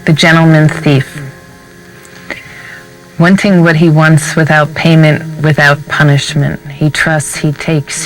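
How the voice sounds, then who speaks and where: A poet giving a solemn, deliberate, measured recitation of verse. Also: medium pitch, low-quality recording. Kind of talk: poetry reading, measured recitation.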